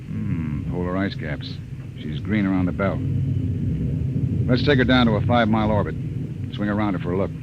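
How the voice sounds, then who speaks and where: An actor playing a gruff, impatient freighter captain in an old science fiction radio drama, curt and used to giving orders. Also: male voice, sits low, normal speed, pauses often, lightly acted. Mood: brisk, commanding. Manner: curt, commanding, barking orders. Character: gruff, impatient freighter captain, a man minding his schedule. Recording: dull, noisy old radio recording.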